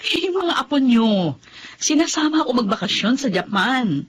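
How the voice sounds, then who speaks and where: A woman's voice: a little high, very animated, moderate pace, a little hiss or hum. An actress playing a devoted, emotionally fragile mother in a melodramatic Filipino radio drama. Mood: contented, grateful. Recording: music behind the voice.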